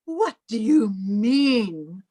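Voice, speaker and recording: A woman's voice: a little high, very animated, extremely slow, warm tone, exaggerated voice. A woman playing a bitter, controlling older sister in old time radio melodrama, theatrical and imperious in her delivery. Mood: alarmed.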